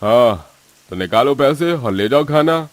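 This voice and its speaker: A man playing a gruff, burly eatery owner in an animated story, speaking brusquely. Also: mid-range voice, a little slow, no room sound, natural voice. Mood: dismissive.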